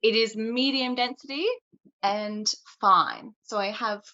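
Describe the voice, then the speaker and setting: A young woman with a Queensland Australian accent, chatting as an interview guest and telling stories with animated energy. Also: fairly high pitch, slow pace, dull audio. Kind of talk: casual chat.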